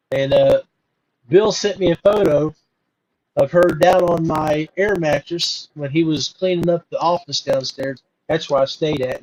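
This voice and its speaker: A middle-aged or older man talks casually to his podcast audience in a fairly high male voice. His delivery is folksy and halting, wandering along with repeated words as he addresses viewers directly. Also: a little mellow, poor audio. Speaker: podcast host. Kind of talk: casual rambling monologue to viewers.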